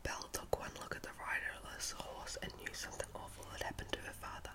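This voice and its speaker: Whispering woman reading a storybook. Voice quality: breathy unvoiced whisper. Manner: halting reading with slips and self-corrections. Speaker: ASMR storyteller.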